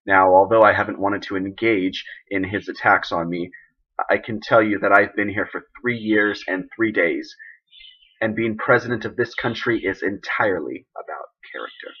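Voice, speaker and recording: A man playing an embattled, idealistic president who gives an emphatic, deliberate, indignant speech in a dramatic monologue. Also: lowish voice, breathy edge, muffled recording. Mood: firm, indignant.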